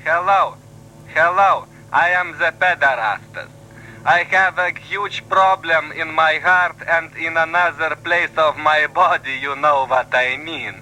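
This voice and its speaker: A man with a Lithuanian accent voicing an overwrought caller on a comedy radio show, halting with fillers. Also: a little high, pushed and bright, poor audio. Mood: mock-earnest. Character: self-loathing male radio caller, overwrought.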